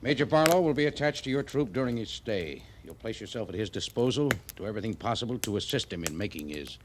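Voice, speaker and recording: Actor as a low, formal commanding officer. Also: male voice, expressive, fast talker, lightly acted. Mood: ordering. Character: brisk, guarded senior army commanding officer. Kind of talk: 1950s radio western acting. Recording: noisy old radio drama recording.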